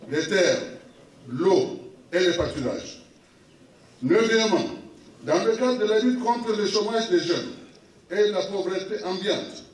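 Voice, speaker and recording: A man with a Cameroonian accent solemnly reading a formal public declaration in short, measured phrases, as an official would. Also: high-pitched. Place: municipal hall at a public meeting. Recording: echoey hall, distant microphone.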